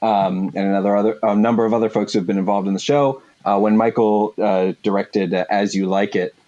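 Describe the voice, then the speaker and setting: Low-voiced man, halting storyteller. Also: steady tone, warm tone, muffled recording. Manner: halting, hesitant delivery. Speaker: voice actor, interviewed cast member. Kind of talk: casual anecdote in a group interview.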